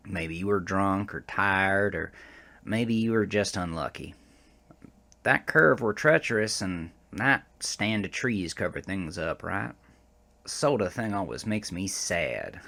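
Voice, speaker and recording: A man acts out a gruff, middle-aged American trucker from the backroads in a horror audio drama. His voice sits low but swings widely as he rambles through his tale. He is cranky and foul-mouthed, with a folksy rural accent and turn of phrase. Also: a bit bright, full character voice. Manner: folksy, profane, rambling storytelling. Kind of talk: first-person horror audio drama narration.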